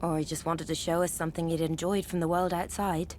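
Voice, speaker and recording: Thoughtful immortal sister played by a woman, fairly low pitch, reflective, faint noise behind. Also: flat delivery, brisk, darkish tone, lightly acted. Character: thoughtful, loyal immortal sibling longing for outside. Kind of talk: video game character acting.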